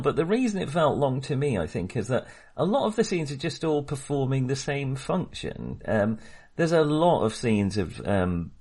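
A man's voice: mid-range voice, a little mellow, slightly rough. A middle-aged man co-hosting a casual film discussion, talking in a wry, hesitant, self-correcting way. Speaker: film podcast co-host.

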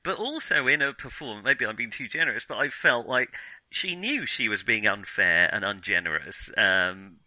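Male film podcast host, sharp bright tone, close dry sound, hesitant, thinking-aloud conversational delivery. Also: fairly low pitch, lively intonation, normal speed, no room sound, poor audio. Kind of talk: casual film discussion.

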